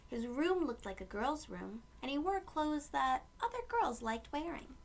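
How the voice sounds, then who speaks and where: A woman reading a picture book aloud to young children, with gentle storytelling phrasing and asides to her listeners. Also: sits high, sing-song, measured pace.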